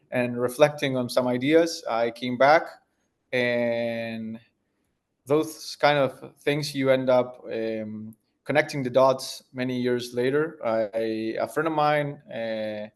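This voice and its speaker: A man, answering interview questions as a tech founder in a thoughtful way, searching for words as he goes. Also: mid-range voice. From Argentina. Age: 30s.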